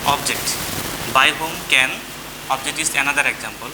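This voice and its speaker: A man teaching a grammar lesson to students in a deliberate way, repeating and spelling out words. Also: mid-range voice. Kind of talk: classroom lesson addressed to students. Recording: very noisy, rain-like hiss throughout.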